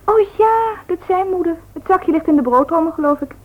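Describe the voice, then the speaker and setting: A woman's voice: very high voice, expressive, a little fast, low-quality recording. An actress in a Dutch radio play, voicing a chatty, attentive young woman. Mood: chatty, helpful. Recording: old radio recording with faint noise.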